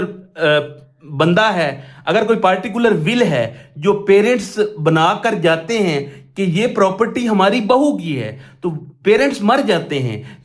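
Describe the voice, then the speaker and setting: A man with a Pakistani accent lecturing on law, reading out clauses and explaining them step by step with repeated check questions. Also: sits high. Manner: didactic, repetitive, with rhetorical check questions.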